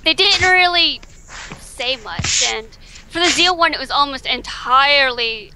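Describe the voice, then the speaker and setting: An American woman on a casual podcast call, speaking hesitantly and restarting her sentences. Her voice is slightly high. Clear background noise sits behind her. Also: animated, measured pace. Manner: hesitant, worried, restarts often. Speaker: fanfiction writer, podcast guest. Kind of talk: casual group chat over voice call.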